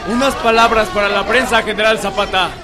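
An actor playing an eager 1910s newspaper reporter questioning generals in a radio drama. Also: male voice, sits high, steady tone, brisk, natural voice. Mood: eager, formal. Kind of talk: Spanish-language historical radio drama acting. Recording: street crowd bustle behind.

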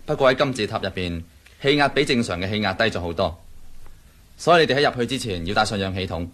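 A man's voice: fairly low pitch, lively intonation, quick pace, fairly bright tone. An actor playing a matter-of-fact technician in a Cantonese radio drama, explaining his work.

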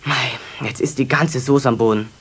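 An actor playing an eager young carpenter's helper in a children's radio play, a man with a Bavarian accent, emphatic and quick to protest. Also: mid-range voice, steady tone, husky. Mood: flustered, alarmed.

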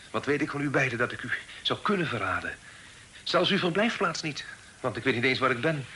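An actor playing an honest, dutiful young gentleman in a period radio drama, speaking formally and earnestly. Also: mid-range voice, vocal fry. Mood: polite, hesitant. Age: young adult. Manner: earnest, formal, sometimes hesitant. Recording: noisy old radio drama recording.

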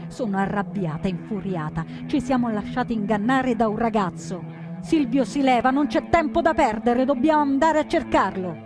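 An actress playing a wounded, fierce and anguished young partisan woman in a theatrical first-person monologue, speaking intensely in deliberate, short emphatic phrases. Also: a little high, music in the background, lightly acted. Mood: agitated, angry. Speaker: stage actor.

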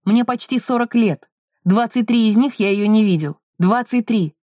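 A troubled husband of almost forty, voiced by a woman narrator, speaks in terse, bitter challenges. The tone is soft and mellow, in a dry audiobook recording. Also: on the high side, moderate pace, dry recording, natural voice. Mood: bitter, challenging. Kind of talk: audiobook character dialogue.

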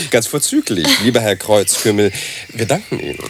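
A man's voice: fairly low pitch, lively intonation, measured pace, noisy background. An actor in a radio play playing a suave, condescending male conductor, effusive and patronising. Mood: smug, jovial.

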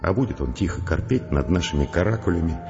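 A defeated, bitterly reflective scientist with an extremely deep voice. His sombre audio drama delivery rings in long reverb, with music behind it. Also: animated, fast, dull audio. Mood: bitter, brooding. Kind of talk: audio drama acting and first-person narration.

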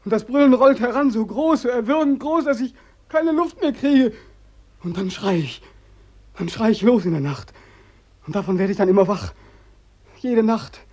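Haunted young war veteran, husky and mellow, feverishly insistent, radio drama. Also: male voice, extremely high pitch, lively intonation, moderate pace, pauses often. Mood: anguished, crescendo. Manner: intense, urgent, insistent repetition. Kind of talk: German radio play acting.

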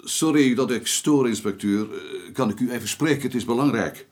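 A man's voice: medium pitch, moderate pace, slight background noise, natural voice. A man with a Dutch accent playing a deferential junior policeman who reports reluctantly in a radio drama, apologetic and hesitant. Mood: apologetic, urgent. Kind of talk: naturalistic radio drama acting.

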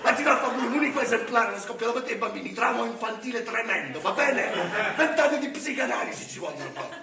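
A frazzled, short-tempered Santa Claus, as a man acts him out on stage, his voice high and sugary before it snaps into shouting. The sound is distant and roomy, caught from a live stage with a noisy background. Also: lively intonation, fast talker, creaky, a crowd in the background, full character voice. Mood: exasperated. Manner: sugary coaxing that tips into shouting. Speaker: stage actor in a comic monologue. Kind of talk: live theatre comedy monologue. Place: theatre stage.

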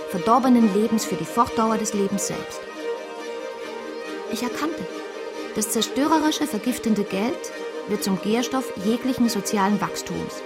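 An actress playing an earnest, lovestruck woman who narrates her own life in a measured, literary radio drama style. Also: mid-range voice, close to an everyday voice.

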